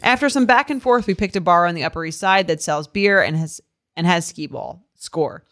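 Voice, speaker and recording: A woman's voice: fairly low pitch, normal speed, fairly bright tone, faint music behind. A woman podcast co-host reading a listener's email aloud in a conversational style, adding casual asides.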